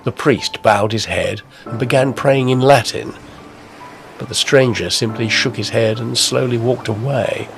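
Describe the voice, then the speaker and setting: A man's voice: medium pitch, unhurried, creaky, faint music behind. A man narrating a scripted, spooky Victorian tale of the coast. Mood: storytelling. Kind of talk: scripted ghost-story narration.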